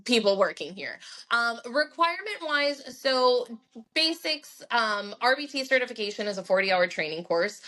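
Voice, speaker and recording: A woman's voice: on the high side, sing-song, moderate pace, bright, forceful tone. A woman whose first language is Spanish, speaking fluent English in an articulate, explanatory way as an interview guest. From Miami area, Cuban heritage. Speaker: guest, behavior analysis practice founder.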